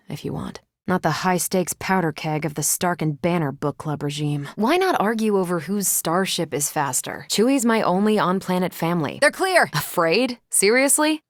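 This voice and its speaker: An actress voicing a confident, wry, tough but kind superhero soldier in a video game, with an American accent and a dry, casual delivery. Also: female voice, lowish voice, dry recording, close to an everyday voice. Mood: dry, sardonic, then urgent, commanding. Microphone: close, dry studio microphone.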